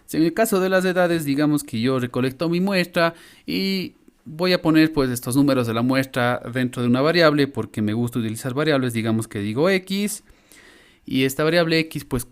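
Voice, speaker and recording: A middle-aged man speaks Spanish with a Latin American accent in a medium-pitched voice. He explains material like a university lecturer teaching online from an indoor room. His delivery is informal, with frequent short check-in questions at the ends of phrases. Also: clear, resonant tone.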